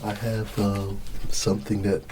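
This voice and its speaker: A low-voiced man reads a children's bedtime story as ASMR roleplay. He sounds very sleepy, with a halting, drawn-out delivery full of hums and yawns. There is clear ambient noise around his voice. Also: monotone.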